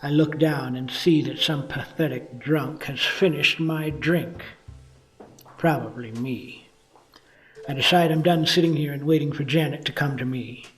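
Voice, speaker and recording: An actor playing a gloomy, hard-drinking 1950s police detective, narrating a noir radio drama with wry self-mockery. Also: male voice, a little high, measured pace, far from the mic, faint music behind, natural voice, rough recording. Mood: brooding. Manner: brooding, wry.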